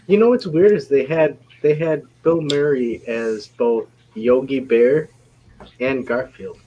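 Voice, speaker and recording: A man in casual, joking panel chat who talks haltingly and repeats himself. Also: medium pitch, mellow, lo-fi sound. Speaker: panel member. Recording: faint background noise.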